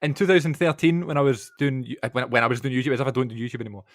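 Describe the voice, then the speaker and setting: A man's voice: mid-range voice, fairly even intonation, racing, no echo, clean studio sound. A young man co-hosting a podcast, chatting in casual, informal banter about things he is a fan of. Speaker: podcast co-host.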